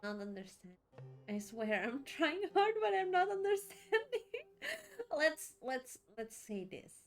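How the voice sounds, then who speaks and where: A woman chatting casually as she streams a video game, expressive, exclamatory and rambling. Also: sits high, slow. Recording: faint game music behind.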